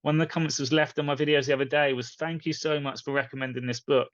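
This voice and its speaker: A man's voice: mid-range voice, steady tone, fast, dry recording, muffled recording. A man talking casually and earnestly to viewers as a coach recommending something, in short emphatic phrases. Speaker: spiritual coach and video host.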